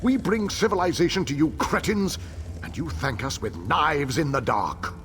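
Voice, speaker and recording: A man's voice: fairly high pitch, animated, normal speed, fairly bright tone, soft background music. An actor playing a bitter, scornful elf man in a fantasy video game, haughty and theatrical in his grief and outrage. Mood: venomous disdain.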